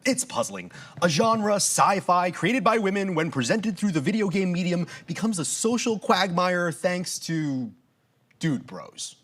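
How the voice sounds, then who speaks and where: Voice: mid-range voice, animated, unhurried, fairly bright tone. An actor playing a curious, skeptical ancient Greek time traveler in a live audio drama, with formal, old-fashioned wording and a questioning manner. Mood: concerned, indignant.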